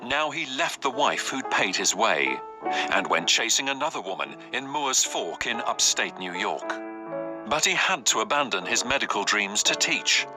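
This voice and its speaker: Male true-crime narrator over loud music. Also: fairly low pitch, sing-song, moderate pace. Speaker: documentary narrator. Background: prominent music.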